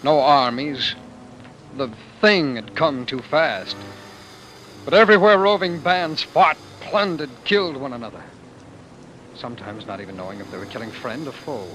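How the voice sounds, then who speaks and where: A man's voice: mid-range voice, moderate pace, a bit bright, lightly acted, poor audio. An actor playing a haunted, intense man narrating his flight from war, brooding and theatrical, in an old radio drama. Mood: bitter intensity. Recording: vintage radio recording with music behind.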